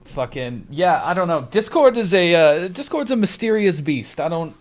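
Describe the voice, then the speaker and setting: A man from Canada, hosting a stream in relaxed casual chat, swearing casually and laughing often. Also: high voice, moderate pace. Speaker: streamer host.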